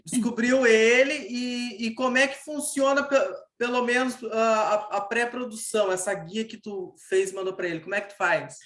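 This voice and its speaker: A man's voice: extremely high pitch, steady tone, normal speed, a bit bright. A Brazilian man hosting a casual interview, speaking in an informal, slangy and encouraging way. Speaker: podcast host, interviewer. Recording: echoey room.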